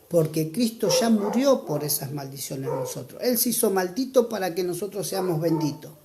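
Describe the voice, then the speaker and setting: A preacher with an Argentine accent, giving an earnest church sermon and reading scripture aloud, slowing down to stress key points. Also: medium pitch, background noise. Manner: earnest, exhorting, slows down for emphasis.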